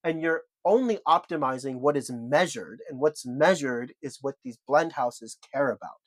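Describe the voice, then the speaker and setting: A man's voice: mid-range voice, expressive, normal speed, no echo. A man co-hosting a podcast, laying out his argument point by point with emphasis. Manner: emphatic, builds arguments in lists. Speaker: podcast co-host.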